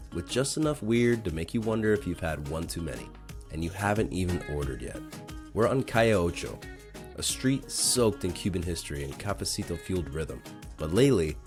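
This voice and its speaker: A man narrating a bar tour video as host, in a scripted, wry and playful way. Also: low voice. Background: music.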